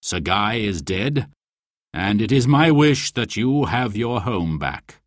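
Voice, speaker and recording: A man voicing a calm, stern and regal knight-king in a storybook. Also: on the low side, slow pace, no room sound, studio quality.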